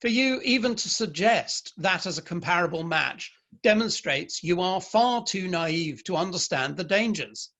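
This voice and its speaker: Man playing high-voiced, stern Victorian gentleman. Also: lively intonation, unhurried, few pauses, exaggerated voice. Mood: stern, indignant. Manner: emphatic, formal, opinionated speech. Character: stern, proud Victorian father and novelist. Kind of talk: dramatic play reading.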